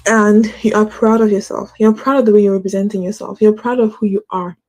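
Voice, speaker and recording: Woman, motivational host, mellow voice, light stutter, lots of fillers, earnest live-stream chat. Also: mid-range voice, little pitch movement, brisk. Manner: stutters, uses many fillers; earnest, encouraging. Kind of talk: casual motivational talk.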